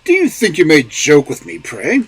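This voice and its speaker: A man voicing a stern, blustering official secretary in a folk tale reading, with a husky voice. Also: fairly high pitch, huge pitch swings, moderate pace, faint music behind, pitched above the performer's own voice, heavily acted. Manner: stern, demanding.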